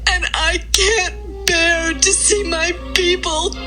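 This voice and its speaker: A woman's voice: very high-pitched, steady tone, sharp tone, soft background music, heavily acted. An actress playing an earnest, pleading queen in a dramatised audio Bible. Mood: tearful pleading. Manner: slow, pleading delivery. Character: young pleading queen, earnest, tearful, devoted to her people.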